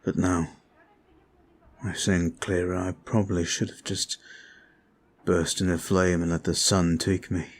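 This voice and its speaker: A man voicing a brooding male vampire who is weary and guilt-ridden, talking slowly and softly in an ASMR roleplay. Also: low voice, little pitch movement. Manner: slow, soft, confiding. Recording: faint music behind.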